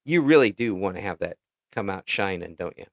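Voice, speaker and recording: Man, casual interviewer, halting delivery, chuckly, dry room, slightly muffled audio. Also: sits low, lively intonation, no room sound.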